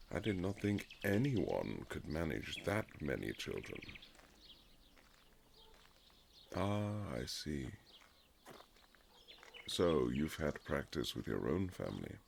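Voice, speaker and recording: A man playing a courtly Regency nobleman husband, tender and gently teasing, in a husky romantic roleplay with formal, old-fashioned phrasing. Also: slight background noise, heavily acted. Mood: warm, fond. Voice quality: very low, husky, gravelly. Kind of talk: romantic boyfriend ASMR roleplay, period drama.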